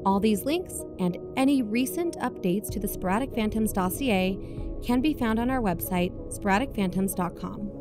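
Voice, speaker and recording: An actress playing an earnest investigator who hosts a mystery podcast in an audio drama. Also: female voice, mid-range voice, lively intonation, moderate pace, music in the background, close to an everyday voice. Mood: businesslike. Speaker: podcast host character and investigator. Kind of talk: audio drama narration and dialogue.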